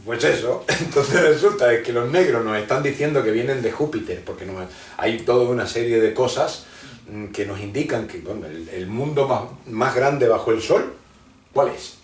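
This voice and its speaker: A man's voice: mid-range voice, moderate pace, slight background noise. A man from Argentina, a guest in an interview who explains his ideas at length and often hesitates and restarts his sentences. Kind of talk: conversational interview, lecturing. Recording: roomy sound from a distant mic.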